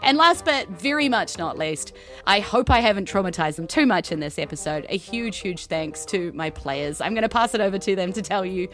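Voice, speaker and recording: Bright-voiced woman hosting a playful podcast. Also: medium pitch, lively intonation, a little fast. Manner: playful, jokey. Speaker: host and game master of a podcast. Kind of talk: casual sign-off chat. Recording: soft music in the background.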